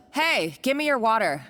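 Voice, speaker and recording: A woman's voice: high-pitched, pushed and bright, exaggerated voice. A woman playing a scheming, mocking villain in a video game, domineering and theatrical. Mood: bossy, impatient. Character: scheming, gloating female villain who bullies her accomplice.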